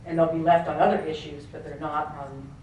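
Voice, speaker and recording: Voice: medium pitch, little pitch movement, a little fast, lo-fi sound, a little hiss or hum. A professor in the audience making a point to a panel, earnest and rambling with many asides. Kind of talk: conference audience question. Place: conference hall. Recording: very echoey hall from far off.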